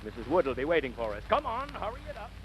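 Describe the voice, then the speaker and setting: A man's voice: on the high side, wildly swooping pitch, fast talker, noisy background. An actor playing a stern, grumpy middle-aged father, curt and scolding, in 1940s radio style. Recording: dull old radio broadcast recording.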